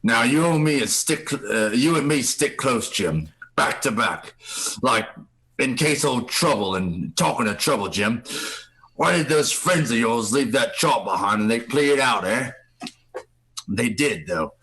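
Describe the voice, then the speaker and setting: Man playing a gravelly, wily old pirate ringleader. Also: mid-range voice, animated, fairly bright tone, rough recording. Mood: sly, confiding. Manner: folksy pirate speech, drawn-out emphasis. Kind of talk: live radio play acting.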